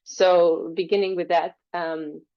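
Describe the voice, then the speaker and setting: A woman's voice: on the low side, little pitch movement, takes it slowly, clean tone. A woman from Romania, a freelancer guest, answering interview questions in a friendly, fluent way with some fillers. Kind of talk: interview answers over a call. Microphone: video call. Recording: dull lo-fi call audio.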